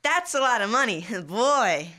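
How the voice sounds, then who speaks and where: A cheeky little boy in an old-time radio comedy, played by a teenage male. The voice is very high and bright, with the innocent needling of a kid brother. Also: very animated, measured pace, exaggerated voice. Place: school library, before a small live cast. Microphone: standing stage microphone.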